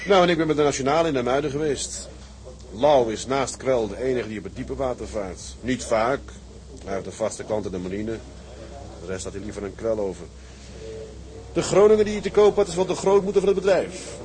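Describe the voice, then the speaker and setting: A man's voice: medium pitch, expressive, a little fast, darkish tone, lightly acted, poor audio. Decisive Dutch seaman in charge, played by an actor. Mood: brisk, businesslike. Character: decisive male Dutch seaman leading a shipping venture. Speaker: leader of a group of seamen. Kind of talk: Dutch audio drama dialogue.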